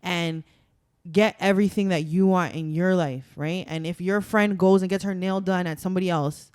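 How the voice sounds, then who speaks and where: Young woman, podcast guest, smooth clear tone, dry close sound, emphatic and chatty. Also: on the low side, fairly even intonation, moderate pace, darkish tone, no echo. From Toronto, Canada. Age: young adult. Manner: chatty and emphatic, many "like" fillers. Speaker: guest, carnival masquerader. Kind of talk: casual podcast conversation.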